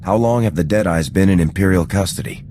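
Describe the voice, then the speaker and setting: An actor playing a steady, heroic male squad commander in a video game, concise and commanding. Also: sits low, fast talker, a little hiss or hum, close to an everyday voice. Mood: attentive, decisive. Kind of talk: scripted video game cutscene acting.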